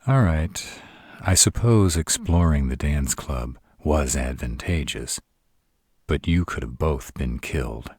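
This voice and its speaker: Vampire prince played by a man, imperious and strategic, slow delivery, heavily rasped, audio roleplay. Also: very deep voice, animated, a little mellow, no room sound, studio quality, heavily acted. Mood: stern, grudging. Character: arrogant, commanding vampire prince, wary and strategic.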